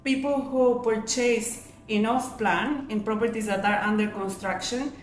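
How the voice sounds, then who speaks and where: A woman's voice: on the high side, measured pace. Woman presenting a webinar, echoey room. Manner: asks and answers own rhetorical questions. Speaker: webinar host and real estate agent. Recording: echoey room with faint noise.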